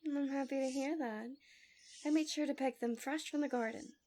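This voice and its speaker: A woman voicing a gentle, coaxing, subtly manipulative fantasy villain in an intimate ASMR roleplay, soft and breathy, often near a whisper, close to the mic. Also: sits high, steady tone, moderate pace, lightly acted. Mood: gentle, soothing. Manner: soothing, doting, teasing.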